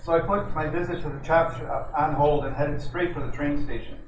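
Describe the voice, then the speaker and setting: A hard-boiled, flirtatious private detective acted by a man from a 1940s-style radio mystery. His voice sits a little high and his reading stumbles slightly. It echoes around a noisy room. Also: fairly even intonation, fairly quick, recorded from a distance, natural voice. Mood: narrating. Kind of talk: 1940s detective radio drama narration and dialogue.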